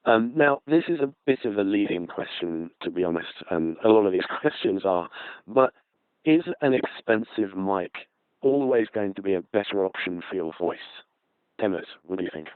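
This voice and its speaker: A man's voice: low voice, animated, normal speed, clean tone, dry recording. A man hosting a conversational panel discussion, heard through a narrow radio transmission. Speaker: panel host.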